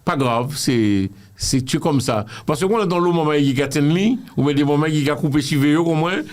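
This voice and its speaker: A man's voice: on the high side, a little fast. A man hosting a casual interview, talking in a playful, teasing way and often repeating himself. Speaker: interviewer host.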